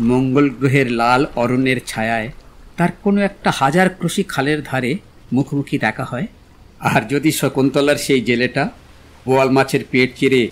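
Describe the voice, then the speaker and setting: A witty young romantic, played by a male actor in an audio drama. He muses in eloquent, poetic phrases at a medium male pitch, with a theatrical flourish and a dreamy, unconventional streak. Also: lightly acted. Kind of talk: literary audio drama acting.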